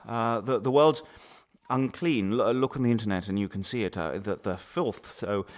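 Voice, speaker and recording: A man's voice: fairly low pitch, moderate pace, close to an everyday voice. An actor playing a desperate, broken male prisoner in a radio drama, stammering and repeating himself in agitation as he confesses. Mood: desperate, agitated. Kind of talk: one-man radio drama monologue.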